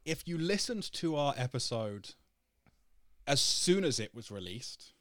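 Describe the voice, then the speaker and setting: A man's voice: mid-range voice, sing-song, unhurried, a bit bright, no room sound, clean studio sound. A young man co-hosting a podcast in casual chat, joking and laughing often as he talks things through. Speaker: podcast co-host.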